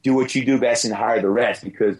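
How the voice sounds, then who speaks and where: A man with a hoarse, raspy voice answering podcast questions as a fitness business coach, emphatic and motivational, repeating key phrases. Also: medium pitch, fairly even intonation, a little fast, a little hiss or hum, low-quality recording.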